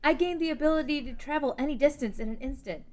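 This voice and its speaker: Woman voicing young princess, high pitch, compassionate, resolute, fantasy table read. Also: fast, a little mellow, close to an everyday voice. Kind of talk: table read of a fan script.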